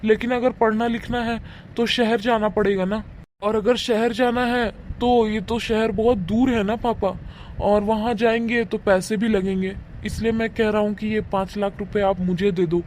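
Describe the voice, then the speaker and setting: A man voicing a devoted adult son, earnest and protective of his family, in an animated moral story. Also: high-pitched, normal speed, slight background noise, lightly acted. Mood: pleading.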